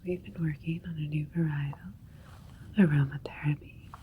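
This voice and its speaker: A woman playing a calm, clinical alien doctor in an ASMR sci-fi roleplay, whispering breathy, soothing, methodical instructions. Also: low-pitched, brisk, narrow, tinny audio, natural voice. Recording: faint ambient noise. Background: faint spaceship ambience.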